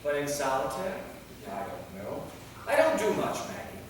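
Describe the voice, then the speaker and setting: A man's voice: on the high side, wildly swooping pitch, unhurried. A man playing an anxious, awkward centuries-old vampire novelist in a staged play reading, hesitant and self-effacing. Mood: awkward, subdued. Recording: echoey hall, distant mic, faint noise.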